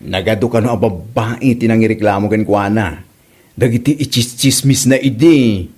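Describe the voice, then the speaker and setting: A man's voice: on the low side, normal speed, darkish tone, slightly rough. A man playing a villager passing on neighborhood news in a comedy drama, with an Ilocano Filipino accent. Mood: reporting. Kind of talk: amateur comedy radio-style drama.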